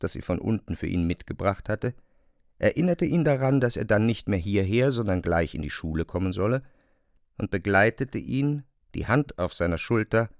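A man's voice: fairly low pitch, no room sound, studio quality. A man narrating a classic novel as scripted literary prose.

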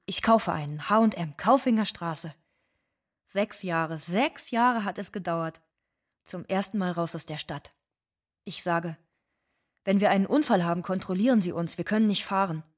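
An actress playing an anxious, bitter undocumented migrant woman in a scripted dramatic monologue, with a husky voice. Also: fairly low pitch, close to an everyday voice. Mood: anxious, pleading.

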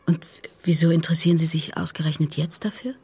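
An actress playing a grieving, guarded middle-aged mother in a radio play, very husky, breathy and creaky, speaking haltingly. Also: sits low, little pitch movement, a little mellow, exaggerated voice. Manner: halting, hesitant.

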